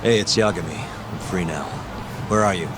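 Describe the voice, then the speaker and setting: A man acting a cool, reckless detective from a video game, speaking in a low register. He is casual, sure of himself and protective, with music playing under his lines. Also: moderate pace, lightly acted. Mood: cool, resolute. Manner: laconic, casual, assured. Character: determined, cool-headed young male detective, protective and reckless. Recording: background music under the dialogue.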